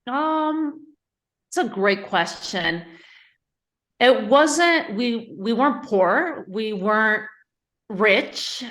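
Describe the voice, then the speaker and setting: Woman from Spain, podcast guest, bright tense voice, reflective, hesitant pauses. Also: on the high side, lively intonation. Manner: thoughtful, hesitant, with fillers and self-corrections. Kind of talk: podcast interview.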